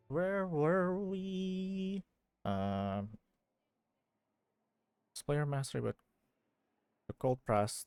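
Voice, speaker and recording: A man's voice: medium pitch, gravelly, darkish tone, clean tone, no echo. A man livestreaming a video game with casual, wry, grumbling commentary.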